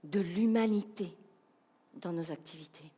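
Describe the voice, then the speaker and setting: A woman with a Belgian French accent giving a humorous, animated keynote talk, asking the audience rhetorical questions. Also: lowish voice, a little slow, slightly rough. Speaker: keynote speaker, professional coach.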